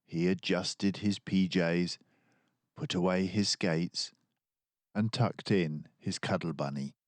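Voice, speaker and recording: A man reading a children's storybook aloud in an animated, expressive way, acting out the story. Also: low voice, slow pace, no echo. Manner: animated, acts out dialogue. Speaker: children's book reader.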